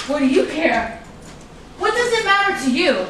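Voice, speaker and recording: A woman's voice: very high voice, very animated, normal speed. A woman playing a wronged, defiant partner in a staged drama, accusing and emphatic, in a very echoey room. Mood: hurt, accusing. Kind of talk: staged play reading, dramatic dialogue. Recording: very echoey room, distant mic, faint noise.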